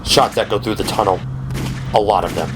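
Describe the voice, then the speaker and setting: A man's voice: lowish voice, a little fast. A man reading dramatic scripted narration for a horror audio drama. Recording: clearly noisy background. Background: ambient sound effects.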